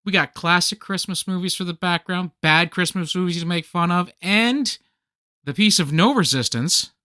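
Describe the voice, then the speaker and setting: A male actor playing an excitable, nostalgic, nerdy man in a radio comedy play, with theatrical, geeky banter. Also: sits high, moderate pace, dry recording, studio quality. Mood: hyped, boastful.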